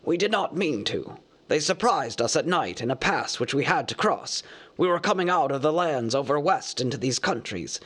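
A man reading a storybook aloud, voicing an old male wizard who speaks formally and with measured composure. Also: on the high side, lively intonation, a bit bright, husky. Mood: courteous.

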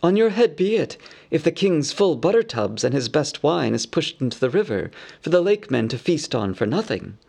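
A man's voice: fairly high pitch, big pitch swings, moderate pace, gravelly, few pauses, a little mellow, voice pushed up, exaggerated voice. A man voicing a band of merry, teasing young elves in a raised, jeering voice. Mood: mocking.

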